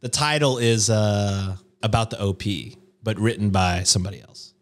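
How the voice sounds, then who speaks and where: A man's voice: fairly low pitch, normal speed. A man co-hosting a podcast in casual chat, joking and teasing his co-hosts before reading a story. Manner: jokey, teasing, playful. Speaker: podcast co-host reading stories.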